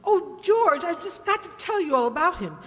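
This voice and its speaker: A woman's voice: very high voice, sing-song, moderate pace, a bit bright, heavily acted. A woman playing a spirited, chatty housewife in an old-style radio sitcom. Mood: gushing. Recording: long hall reverb.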